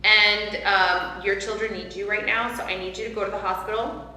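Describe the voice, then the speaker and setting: A woman's voice: mid-range voice, flat delivery, a little fast, bright, forceful tone, a little hiss or hum. A woman in her forties, a panel guest, earnestly retelling a personal story with many fillers. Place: large hall, seated on a sofa. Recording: echoey hall, light ambience.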